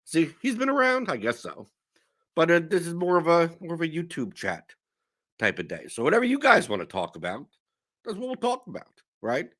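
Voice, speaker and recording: A young man, hoarse with a sore throat, hosting a casual fantasy sports livestream, rambling and cutting himself off. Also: a little high, moderate pace. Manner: animated, rambling, self-interrupting. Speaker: host of a fantasy sports stream.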